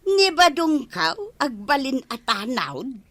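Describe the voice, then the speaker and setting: An anxious village wife in a radio comedy drama, pleading in a fairly high voice. The accent is Ilocano and the recording is dry and close. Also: female voice, big pitch swings, slow, fairly bright tone, no room sound, exaggerated voice. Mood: surprised.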